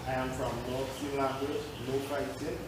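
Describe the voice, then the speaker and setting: A man reading his own list poem aloud, line by line, with short pauses and a few stumbles. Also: mid-range voice, little pitch movement. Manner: reads list lines with pauses, some stumbles. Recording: echoey room, far from the mic, loud noise.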